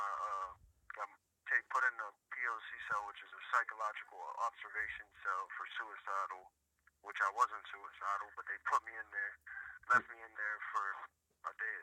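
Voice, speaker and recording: A man's voice: fairly low pitch, steady tone, fairly quick, dry recording. An American man speaking over a telephone, giving an earnest conversational account peppered with "you know". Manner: repeatedly says "you know". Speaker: prison inmate being interviewed by phone. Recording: sharp prison phone line.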